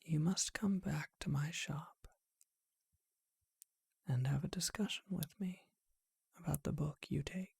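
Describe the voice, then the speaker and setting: A performer voicing a charming, courteous, bookish vampire shopkeeper in a breathy, husky whisper, with genteel and hesitant phrasing, for an ASMR roleplay. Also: medium pitch, unhurried, pauses often, a little mellow, dry recording, studio quality, heavily acted. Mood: coaxing, courteous. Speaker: bookshop owner.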